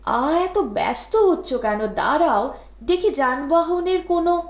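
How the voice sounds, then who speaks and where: A woman's voice: high-pitched, lively intonation, a little slow, voice pushed up. A woman voicing a friendly, busy young man who talks in a familiar, caring way, in an audiobook. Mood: hurried, friendly. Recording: roomy, echoing voice recording.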